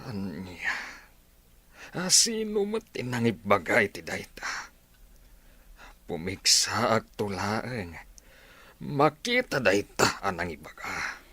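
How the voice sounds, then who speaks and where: An actor with a Filipino accent playing a proud, stubborn, ailing village president in a radio drama, blustering and emphatic. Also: male voice, fairly low pitch, big pitch swings, slow pace, pauses often, pushed and bright, slightly rough, no room sound, exaggerated voice. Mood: indignant. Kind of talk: Ilocano radio comedy drama acting.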